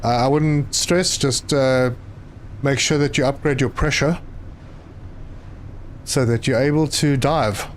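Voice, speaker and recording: A man, live streaming a game and chatting with viewers, often pausing with fillers. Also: medium pitch, a little hiss or hum. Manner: chatty, reads chat aloud, hesitant with fillers. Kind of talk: casual live stream commentary.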